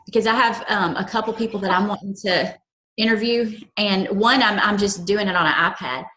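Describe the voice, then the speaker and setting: Halting woman in an echoey room. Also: medium pitch, sharp tone, a little hiss or hum. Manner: questioning, halting. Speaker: panel participant. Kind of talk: casual panel discussion.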